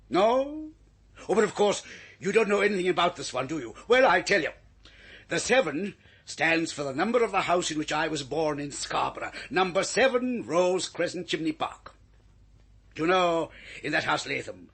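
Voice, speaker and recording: An actor playing a blustering, folksy older British politician in an old radio drama, raspy and rambling in manner. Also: male voice, a little high, a bit bright, exaggerated voice. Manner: hesitant, rambling, self-deprecating bluster.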